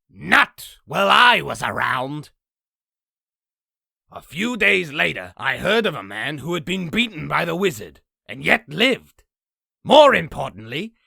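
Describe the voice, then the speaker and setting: Croaking, raspy old demon storyteller. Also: male voice, high voice, sharp tone, no echo, studio quality, exaggerated voice. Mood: boastful. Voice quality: raspy, gravelly. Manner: theatrical, grandiose storytelling. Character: sinister boastful old demon spinning tall tales. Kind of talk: comic dub villain acting.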